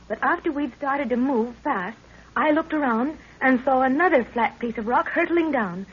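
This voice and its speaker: A woman's voice: high voice, moderate pace, husky. An actress playing an anxious, earnest young woman, an explorer's daughter, in a 1930s radio adventure. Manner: earnest, dramatic. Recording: hissy muffled 1930s radio recording.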